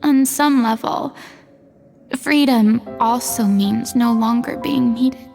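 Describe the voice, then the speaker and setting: Brief: a guilt-worn former water goddess, high with a gravelly edge, hushed confiding game dialogue over clear music. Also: female voice, takes it slowly. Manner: soft, confessional, often half-whispered. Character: remorseful former water goddess, young woman. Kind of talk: video game voice acting.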